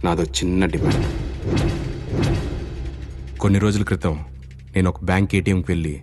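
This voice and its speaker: An actor playing a calm kidnapper in a film drama, laying out his words in short, measured, deliberate phrases. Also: low voice, over loud music, close to an everyday voice. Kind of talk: film dialogue acting.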